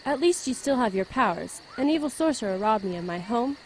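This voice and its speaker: A woman's voice: fairly high pitch, animated, brisk, slight background noise, poor audio. A woman playing a gloomy, practical, penniless witch in an amateur audio drama. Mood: downcast.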